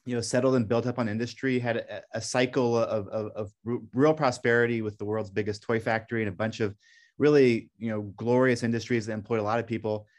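A middle-aged man from Belgium talks through a radio interview as a guest. He sounds earnest and reflective, with a voice at an ordinary pitch. He often restarts his sentences as he thinks. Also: steady tone, fairly quick. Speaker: guest, documentary director.

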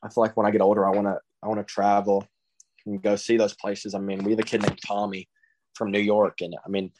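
A man's voice: lowish voice, completely flat delivery, quick pace, noisy background. A teenage boy from Texas with a Southern accent, answering interview questions in a laid-back way and saying "I mean" constantly. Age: teens. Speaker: guest, high school baseball recruit.

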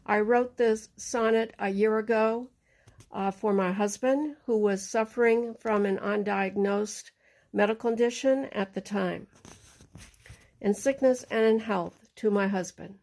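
A middle-aged woman poet speaking in a personal, reading style, recorded in a quiet room at home. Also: medium pitch, steady tone, measured pace. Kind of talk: personal introduction and poetry reading.

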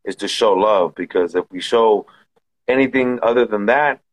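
A man from Southern California hosts a casual podcast chat. His voice sits on the lower side. He speaks in an easygoing way, repeating phrases and filling with "you know". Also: moderate pace, rough recording. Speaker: podcast host.

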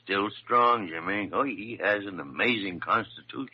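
An actor in an old radio drama playing a crotchety, blustering senior doctor, on a muffled vintage recording. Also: male voice, on the low side, normal speed, husky. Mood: businesslike. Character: crusty, grumbling senior doctor, gruffly fond of his staff.

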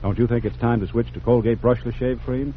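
A man's voice: mid-range voice, fairly even intonation, a little fast. An American man announcing a 1940s radio commercial in punchy, emphatic sales phrases. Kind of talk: 1940s radio advertisement read. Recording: thin, phone-like, noisy vintage radio recording.

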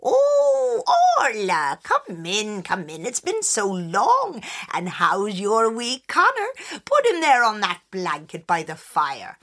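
A woman voicing an old wise woman, firm and hushed with sudden shrieks. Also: mid-range voice, wildly swooping pitch, slow pace, hardly any pauses, full character voice. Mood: welcoming. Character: old wise village woman, shrewd and warning.

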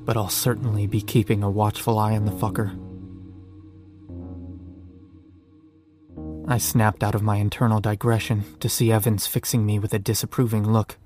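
A man's voice: fairly low pitch, level tone, a little fast, few pauses, over music, lightly acted. A man playing a sarcastic, defiant, foul-mouthed zombie apocalypse survivor in an audio drama. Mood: brooding, suspicious. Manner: sardonic, profane. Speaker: narrator and lead character. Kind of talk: first-person audio drama narration and dialogue.

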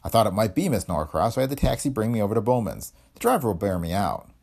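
A man's voice: fairly low pitch, big pitch swings, fast, gravelly, a little mellow, heavily acted. A man voicing a cocky, sardonic, hard-headed investigator in a hardboiled detective story, wry and tough-talking. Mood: cool, defiant. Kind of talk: hardboiled detective audiobook dialogue.

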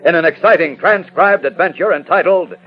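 A man's voice: a little high, fairly quick, a little hiss or hum, lightly acted. A man acting as a grave, suspenseful narrator in a theatrical 1940s radio drama style. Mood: rousing. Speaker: announcer and narrator. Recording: dull old radio recording. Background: dramatic music.

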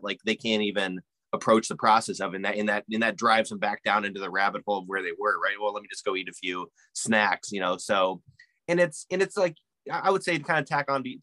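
Bright, quick-talking man, dry sound. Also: on the low side, no echo, rough recording. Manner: fillers, keeps asking "right?". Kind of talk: casual podcast chat.

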